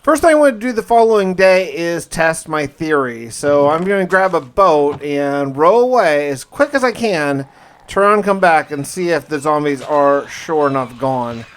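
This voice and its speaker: A man's voice: fairly high pitch, animated, normal speed. A man narrating a survival game diary in the first person, conversational and musing, with wry asides. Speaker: gaming video narrator. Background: music.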